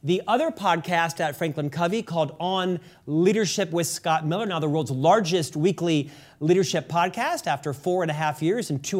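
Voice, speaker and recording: A man hosting an interview podcast, speaking in a polished, formal and wordy presenter style. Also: sits high. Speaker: podcast host. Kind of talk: scripted podcast introduction and interview questions.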